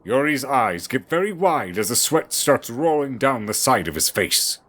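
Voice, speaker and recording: A man's voice: mid-range voice, very animated, normal speed, a bit bright. A man reading scripted fantasy story narration as the narrator of an audio drama.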